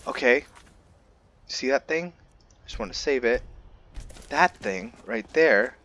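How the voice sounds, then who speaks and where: A man's voice: medium pitch, animated, takes it slowly, sharp tone. A man giving casual commentary as he plays a video game, musing aloud in a laid-back way. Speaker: host of a video game roleplay series. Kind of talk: casual let's-play commentary.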